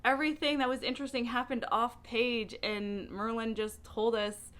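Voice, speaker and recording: A woman talking casually to camera as a book vlogger, with an animated, emphatic way of speaking. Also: on the high side, normal speed.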